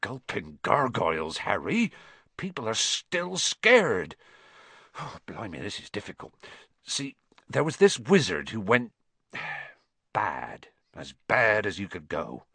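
Storybook giant: gruff, kindhearted, hot-tempered, dropped consonants, husky and forceful. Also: male voice, fairly low pitch, huge pitch swings, no echo, full character voice. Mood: uneasy, grave. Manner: folksy dialect, dropped consonants, halting.

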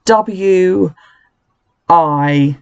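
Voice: mid-range voice, very slow, smooth, muffled recording. A reader voicing a cheeky, disruptive schoolboy with a silly streak in a children's storybook. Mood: sheepish.

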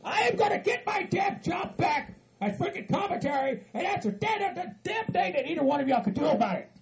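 Pissed off southern hillbilly, super high gravelly voice, cussing and hollering. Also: male voice, sing-song, brisk, full character voice. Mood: defiant, furious. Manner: hot-tempered, shouting, profane. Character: furious hillbilly man, foul-mouthed, wronged, ranting.

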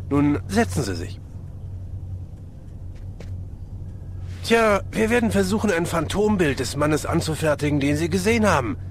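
A man playing a courteous, confident police inspector in a German audio drama, explaining things politely and briskly. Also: fairly high pitch, slight background noise.

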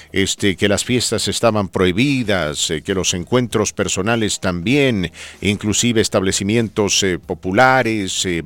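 A man's voice: fairly low pitch, fairly bright tone, no room sound. A man hosting a talk show, giving conversational news commentary in an emphatic, rhetorical manner. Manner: emphatic, rhetorical, asks listeners tag questions. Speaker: radio podcast host.

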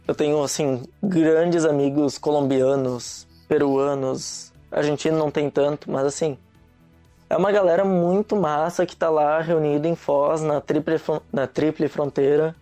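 A man's voice: fairly high pitch, fairly even intonation, measured pace, mellow, soft background music. A young man, a guest in a casual chat, telling stories in an easygoing, slangy way. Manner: easygoing storyteller, slangy, laughs often.

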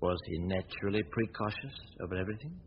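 Suave gentleman sleuth played by a male actor: low, measured, formal questioning, thin noisy forties radio recording. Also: natural voice. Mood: serious, probing. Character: suave, probing gentleman detective, calm and concerned. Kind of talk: 1940s radio drama acting. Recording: tinny, noisy 1940s radio recording with rumble.

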